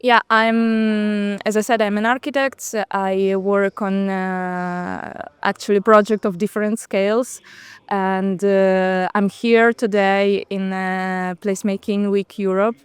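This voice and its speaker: A woman's voice: medium pitch, little pitch movement, takes it slowly, clear, resonant tone, no room sound. A woman from Russia, whose first language is Russian, answering interview questions as a guest, speaking hesitantly with many fillers and restarts. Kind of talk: casual interview conversation. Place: outdoors on a bench at a city event.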